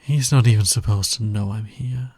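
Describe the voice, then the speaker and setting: Man acting a husky, low-voiced flirty villain. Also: normal speed, warm tone, no room sound. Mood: smug, confiding. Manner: smug, playful, drawling, teasing. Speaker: voice actor in audio roleplay. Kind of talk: flirty ASMR roleplay acting.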